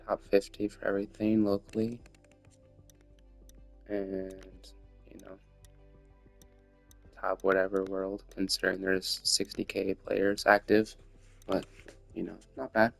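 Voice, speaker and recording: A congested man with a very low, gravelly voice talks through his racing-game runs. He sounds stuffy from a cold and mumbles casual self-coaching with plenty of fillers. Game music plays clearly behind him. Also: little pitch movement, a little slow, pauses often, fairly bright tone. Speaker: gamer commentating his runs. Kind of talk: casual gameplay commentary. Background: game music and car sounds.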